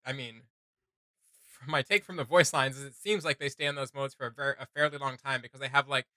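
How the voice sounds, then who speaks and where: A man gives his casual take as a reaction host. His voice is strikingly bright and forceful at a middling pitch, recorded dry, and he rambles along as he thinks out loud. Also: a little fast, dry recording.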